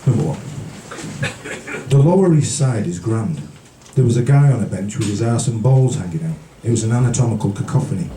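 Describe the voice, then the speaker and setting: Male poet for live café reading: dark, mellow tone, literary and measured, heard from across an echoey room. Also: on the low side, lively intonation. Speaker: featured poet. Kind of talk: live poetry reading with brief casual asides. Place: small indoor café poetry event. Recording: roomy, distant, noisy live recording.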